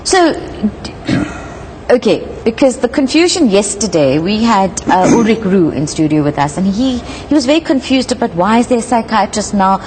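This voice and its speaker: Woman hosting a news interview, asking questions, animated intonation, faint noise behind. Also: medium pitch, moderate pace. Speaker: interviewer, host.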